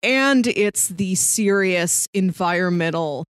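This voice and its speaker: A woman's voice: lowish voice, expressive, slow pace, smooth, no echo, studio quality. A woman co-hosting a film podcast, explaining her thoughts in a casual, thoughtful discussion. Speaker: podcast co-host.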